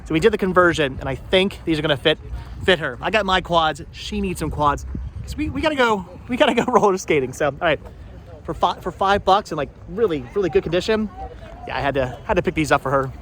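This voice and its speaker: A man's voice: high voice, expressive, quick pace, fairly bright tone. A man chatting casually as he hosts a vlog, rambling and often repeating and restarting his phrases. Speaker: vlogger hosting a flea market thrifting video. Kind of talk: casual unscripted vlog chat to camera and companions. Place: outdoors at a busy flea market. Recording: noisy outdoor recording with vehicle ambience. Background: outdoor market ambience and vehicles.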